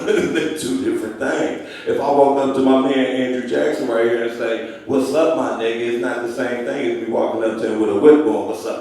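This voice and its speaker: A man's voice: mid-range voice, darkish tone, recorded from a distance. A man, a guest speaker, talking emphatically in a group discussion and restarting his sentences. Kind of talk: open discussion at a community event. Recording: very echoey, away from the mic.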